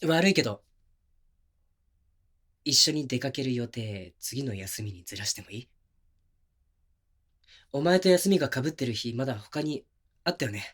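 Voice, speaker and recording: A man acting as a considerate but oblivious young boyfriend in an intimate audio drama, talking casually and softly with pauses. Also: medium pitch, lively intonation. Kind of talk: intimate situation-voice audio drama, naturalistic one-sided dialogue.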